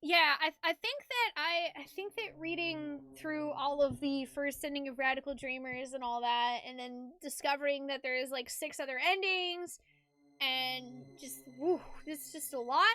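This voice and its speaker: Bright, high-voiced woman streamer. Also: moderate pace. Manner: hesitant, rambling, informal. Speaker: streamer doing a game playthrough. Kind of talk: casual livestream chat.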